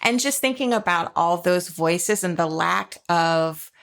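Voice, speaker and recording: An American woman co-hosts a podcast with a fairly low voice and noticeable vocal fry. She speaks casually but earnestly, with enthusiasm in how she puts things. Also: unhurried, fairly bright tone. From United States, Jacksonville, Florida. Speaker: podcast co-host.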